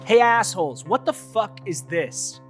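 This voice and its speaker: Guitar music plays behind an actor as a furious man in an audio drama. His voice is high and very animated, and he is blunt, profane and confrontational. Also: measured pace. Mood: angry, disgusted. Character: furious, hot-headed male friend who feels betrayed.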